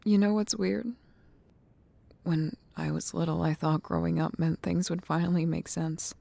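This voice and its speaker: A woman playing a gentle, nostalgic childhood friend in an ASMR roleplay, speaking softly and breathily, tender and unhurried. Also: fairly low pitch, little pitch movement, no room sound. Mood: wistful. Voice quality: soft, breathy near-whisper with creaky fry. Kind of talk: ASMR roleplay, soft intimate talk.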